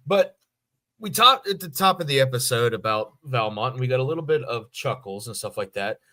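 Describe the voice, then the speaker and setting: A man's voice: mid-range voice, expressive, brisk, pushed and bright. A man hosting a podcast in a casual, conversational style. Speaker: podcast host. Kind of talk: casual chat.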